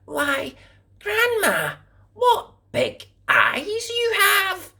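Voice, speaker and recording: A woman's voice: huge pitch swings, drawn-out words, pushed and bright, full character voice. Woman doing a wide-eyed little girl. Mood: wondering. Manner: high, wide-eyed, earnest.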